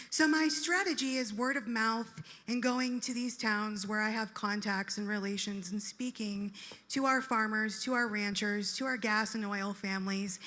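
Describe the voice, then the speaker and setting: A woman's voice: mid-range voice, bright, forceful tone. A woman from Colorado, a political candidate giving assertive, declarative answers at a live debate. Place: live debate in a large event venue. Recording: long reverb in a large venue.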